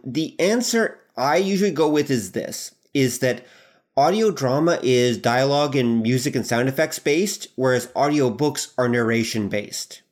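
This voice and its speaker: A man who writes and teaches audio drama, answering interview questions in a measured, explanatory way. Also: medium pitch.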